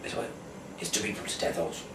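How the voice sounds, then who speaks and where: A man from the UK who speaks haltingly and stumbles over words as an amateur host and reader. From United Kingdom. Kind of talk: casual rambling talk, then reading scripture aloud. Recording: echoey room, distant mic, clear background noise.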